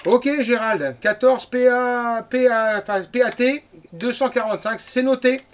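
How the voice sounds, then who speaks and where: Guy in his 50s from northern france, pretty high voice, chatty radio ham, repeats himself. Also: unhurried. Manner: chatty, rambling, repeats words. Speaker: amateur CB radio operator. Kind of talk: casual radio contact chat.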